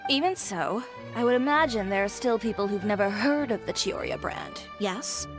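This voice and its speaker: A poised, ambitious fashion designer from a video game, speaking in a cool, matter-of-fact way with a dry edge, as a woman voices her. Her pitch sits mid-range for a woman. Music plays softly behind her. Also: expressive, moderate pace, close to an everyday voice. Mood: composed. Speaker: boutique owner.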